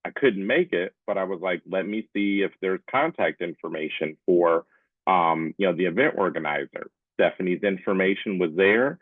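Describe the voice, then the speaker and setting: A man presents an informal online session in a low-pitched voice. He is conversational and keeps checking that listeners follow. The sound is narrow and phone-like, close and dry, as from a video call. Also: normal speed, dry recording. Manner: conversational, often checks in with "right?". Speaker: presenter, public affairs specialist. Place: small room at home, indoors. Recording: muffled phone-like webcam audio.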